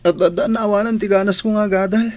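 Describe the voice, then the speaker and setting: A man's voice: high-pitched, fairly even intonation, quick pace, a little mellow, natural voice. A man playing a grief-stricken, plaintive orphaned schoolboy in an Ilocano radio drama. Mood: dejected.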